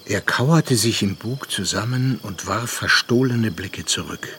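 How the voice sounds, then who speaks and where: An actor narrating a literary radio play as a grave, reflective storyteller with measured delivery. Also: male voice, lowish voice, fairly bright tone, slight background noise. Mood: hushed.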